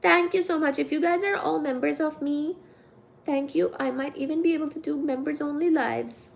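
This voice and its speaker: A woman in her thirties from India, chatting casually with her viewers on a livestream, giggly and quick to get excited reading the chat. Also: high-pitched, creaky. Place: home room with cat shelves.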